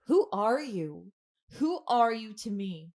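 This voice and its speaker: An actress playing a confused, distressed young captive with lost memory, speaking in terse, questioning lines in an audio drama. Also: female voice, a little high, very animated, takes it slowly, a little mellow.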